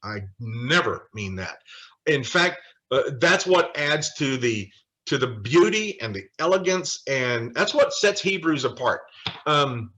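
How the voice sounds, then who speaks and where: A man's voice: medium pitch, very animated, a little slow, pushed and bright. A man leading a Bible study as an informal lecture from notes, speaking emphatically with wry asides. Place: online video call study session.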